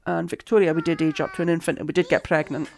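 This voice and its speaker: Husky-edged woman streaming a game. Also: low-pitched, little pitch movement, quick pace, a little hiss or hum. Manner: chatty, talks to her game characters. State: slightly hoarse, losing her voice. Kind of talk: live-stream gameplay commentary.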